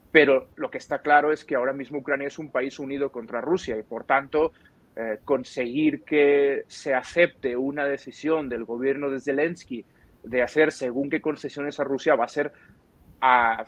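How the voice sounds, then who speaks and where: A man's voice: mid-range voice, dull audio. A man with an accent from Spain gives explanatory commentary as an interviewed analyst, choosing his words carefully and qualifying his points. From Barcelona, Spain.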